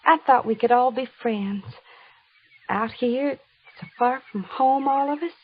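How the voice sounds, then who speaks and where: A very high, girlish voice on a dull old radio recording a gentle young washerwoman who is expecting a baby. She pleads earnestly and softly in old-time radio drama style. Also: measured pace, husky. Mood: hurt, earnest. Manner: soft-hearted, pleading, earnest.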